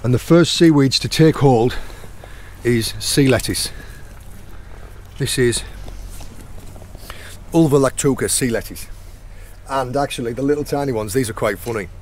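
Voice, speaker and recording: A hoarse, croaky middle-aged man talks casually while foraging outdoors on a rainy rocky shore. His pitch sits in a middle range, and he keeps up a chatty flow. A faint hiss of noise runs under the voice. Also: a little slow. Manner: chatty, points things out as he goes. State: hoarse, croaky sore throat. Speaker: channel host and foraging guide.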